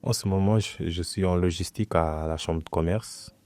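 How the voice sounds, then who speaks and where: A young man from Portugal whose first language is Portuguese, talking as a guest in a relaxed, hesitant way and often restarting his phrases. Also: deep voice, normal speed, no room sound. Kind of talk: casual conversation.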